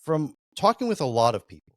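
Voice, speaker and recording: A man's voice: a little high, very animated, fairly quick, no echo, studio quality. A man hosting a podcast interview, asking long, rambling questions full of "you know" fillers. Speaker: podcast host.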